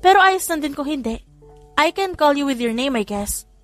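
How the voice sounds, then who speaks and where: A woman's voice: sits high, expressive, normal speed, fairly bright tone, faint music behind, pitched above the performer's own voice. A woman voicing a sweet, teasing younger sister in an audiobook, mixing in English phrases. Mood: pleading, sweet. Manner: mixes Tagalog with English phrases. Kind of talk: audiobook character dialogue.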